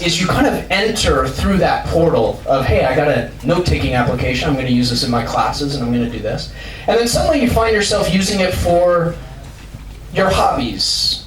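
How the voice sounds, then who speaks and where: A man giving a casual conference talk to a live audience, loud and chatty, with many fillers and restarts. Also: a little high, a little hiss or hum. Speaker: conference speaker. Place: large university lecture hall. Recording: echoey hall picked up from far off.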